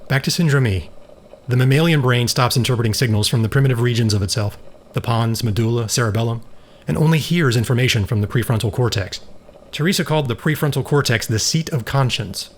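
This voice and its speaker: Audio drama male actor as grave older-brother scientist, mid-pitched, earnest and formal, slight background noise. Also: fast talker, natural voice. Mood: earnest, probing. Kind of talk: scripted dialogue in a science fiction audio drama.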